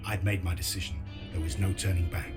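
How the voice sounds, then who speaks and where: A grave resistance veteran in a game story , played by a male actor. He speaks in a low, sober voice, resolute yet reluctant, with music clearly playing behind him. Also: steady tone, close to an everyday voice. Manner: sober, measured, serious. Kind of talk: dramatic game narration and dialogue.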